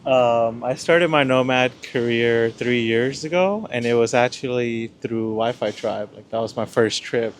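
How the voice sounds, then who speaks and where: A man answers as a guest in a casual panel chat. His pitch is average and his phrasing tentative, with faint ambient noise in the recording. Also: measured pace, few pauses.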